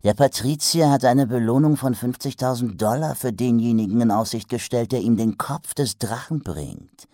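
A man voicing a pushy, fast-talking male street hawker with a wheedling sales patter that sinks into hushed whispers. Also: medium pitch, no room sound, clean studio sound, pitched above the performer's own voice, natural voice. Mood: conspiratorial sales pitch.

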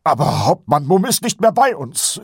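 A man voicing a weary, reluctant older watch sergeant in an audiobook, husky and grudging, with some bluster. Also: mid-range voice, animated, normal speed, no room sound, clean studio sound, pitched above the performer's own voice. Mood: defensive, desperate. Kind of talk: audiobook character voice.

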